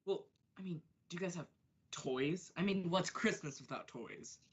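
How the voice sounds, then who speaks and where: A woman playing a casual, curious customer in a scripted radio advert, husky and laid-back. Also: lowish voice, moderate pace, lo-fi sound.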